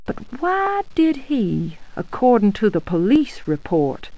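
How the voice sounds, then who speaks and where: An actress playing an anxious but resolute genteel niece, narrating a gothic horror game in a formal, dramatic manner. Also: female voice, medium pitch, huge pitch swings, takes it slowly, warm tone, no echo, exaggerated voice. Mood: pensive, uneasy. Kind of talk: scripted game monologue narration.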